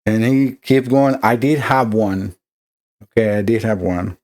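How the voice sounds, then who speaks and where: A man's voice: on the low side, unhurried, a little mellow. A middle-aged man from Costa Rica with a Costa Rican Spanish accent, conversationally explaining an electronics repair as a technician, with many fillers and restarts.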